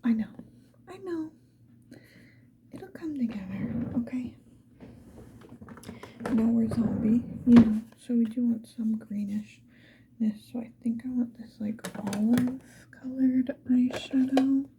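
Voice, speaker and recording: A woman whispering casually and playfully to the viewer in a chatty ASMR get-ready-with-me style, mostly in a breathy, unvoiced whisper. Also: on the high side, slow pace, pauses often, mellow, a little hiss or hum. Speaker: ASMR video creator.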